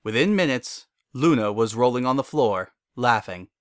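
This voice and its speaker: A man's voice: medium pitch, lively intonation, moderate pace, smooth, no echo, studio quality. A man giving a scripted dramatic narration of a story.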